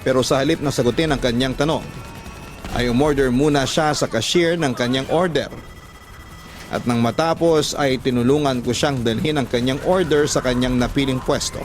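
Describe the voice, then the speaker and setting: A man with a Filipino accent narrating a scripted story as a radio drama host, in an emotive, deliberate storytelling delivery. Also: medium pitch. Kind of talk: scripted dramatic narration of letter-sender stories. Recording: voice over background music. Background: music.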